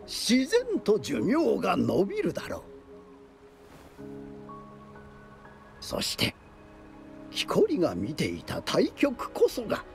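Game storyteller: dramatic, knowing, unhurried delivery with huge melodic swings, music behind. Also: male voice, high voice, creaky, heavily acted. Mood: knowing, theatrical. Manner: theatrical, deliberate, suspenseful. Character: theatrical male storyteller spinning eerie mountain legends, dramatic, knowing. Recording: loud music under the voice.

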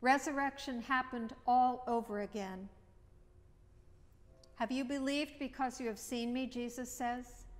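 A middle-aged woman priest gives a sermon from a lectern in a church. She speaks very slowly and reflectively in short, repeated phrases, her voice slightly high. The sound carries a long reverb from the church. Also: steady tone, soft background music. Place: church chancel, indoors. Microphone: at a lectern, far camera.

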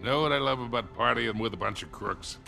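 An actor playing a suave, sardonic American crook in his sixties in a game cutscene, gravelly and dry. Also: medium pitch, a little fast, over music. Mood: jovial. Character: suave, sardonic old crook, a grey-haired man. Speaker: video game character. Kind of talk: naturalistic game cutscene dialogue.

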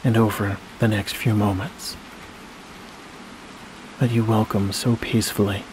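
A man leading a guided sleep meditation in a slow, soothing manner, leaving long pauses between phrases. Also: lowish voice. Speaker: hypnosis guide. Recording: steady rain-like noise behind voice.

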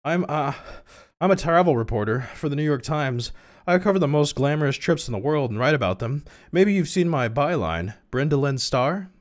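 A man's voice: on the high side, expressive, normal speed, voice pushed up, natural voice. A man voicing a chatty, flirtatious woman travel reporter with a breezy, self-promoting manner. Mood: proud, chatty.

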